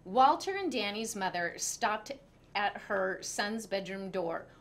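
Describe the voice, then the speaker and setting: A woman reads aloud as a storyteller. She narrates a children's picture book expressively, at a medium pitch for a woman. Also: very animated, slow pace.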